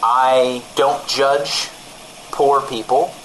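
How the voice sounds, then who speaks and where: A man's voice: mid-range voice, drawn-out words, a little hiss or hum, low-quality recording. A man playing a vain, pretentious, easily rattled rich co-host bickering on a comedy radio show. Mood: smug. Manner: pompous, excitable, bickering. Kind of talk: improvised-sounding comedy radio banter.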